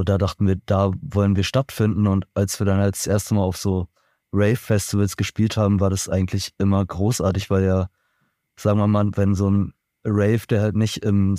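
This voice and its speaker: A man's voice: lowish voice, warm tone, no room sound, studio quality. A man from Berlin, a musician being interviewed, answering in a thoughtful, rambling way with many fillers and restarts. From Germany, Berlin. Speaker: band member, musician guest. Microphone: remote podcast recording.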